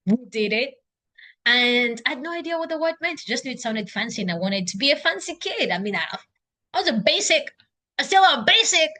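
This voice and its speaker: A woman's voice: high-pitched, lively intonation, a little fast, pushed and bright. A young woman with a Kenyan accent talking casually to her laptop at home, thinking aloud in an unscripted, self-encouraging video diary. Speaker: video diarist. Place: indoors at home, by a couch.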